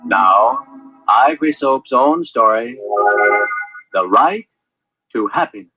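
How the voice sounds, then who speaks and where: A man's voice: medium pitch, animated, slow, breathy edge, phone-quality sound, soft background music. A man announcing sponsors and programme titles in the style of a 1940s radio serial announcer, on an old radio recording.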